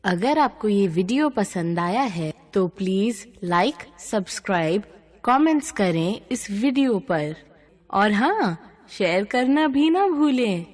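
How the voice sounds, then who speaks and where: A woman's voice: medium pitch, sing-song, takes it slowly, a little mellow. A woman presenting a scripted video sign-off with echoing reverb. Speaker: channel presenter.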